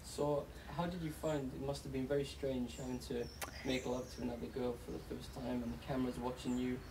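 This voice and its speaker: A man's voice: medium pitch, level tone, fairly quick, noisy background. A man interviewing a guest and asking short, direct questions. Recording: distant off-mic voice with music behind.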